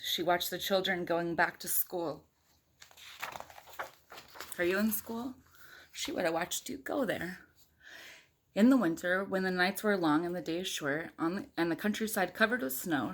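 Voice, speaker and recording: Low-voiced woman reading a picture book aloud. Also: moderate pace, a bit bright, a little hiss or hum. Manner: informal, breaks off to talk to small children and ask questions. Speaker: mother reading a picture book to young children and viewers. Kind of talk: casual read-aloud storytime with chatty asides.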